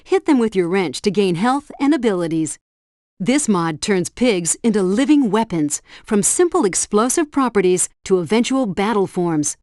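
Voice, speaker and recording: A woman plays a helpful female helpdesk guide in a video game. She delivers scripted tutorial instructions with precise, instructive diction at a medium pitch. The sound is close and dry. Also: animated, normal speed, darkish tone, no room sound, close to an everyday voice.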